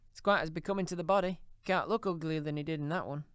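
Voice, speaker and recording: A man voicing a coarse, scheming old charwoman who talks in a shrill, defiant, mocking way. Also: fast, a bit bright, clear, resonant tone, no echo, studio quality, natural voice. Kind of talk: audiobook character reading.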